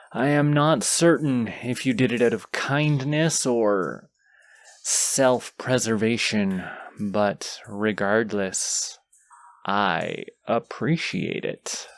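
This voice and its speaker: A man playing a proud, condescending wounded dragon in a fantasy audio roleplay, pompous and deliberate in his phrasing. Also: medium pitch. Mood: grateful, dignified.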